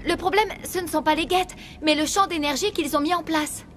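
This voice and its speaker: Anxious, high-voiced young research assistant. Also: female voice, fairly quick, fairly bright tone, slight background noise. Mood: anxious, explaining. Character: anxious, self-blaming young female research assistant, trapped. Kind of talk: French video game dub.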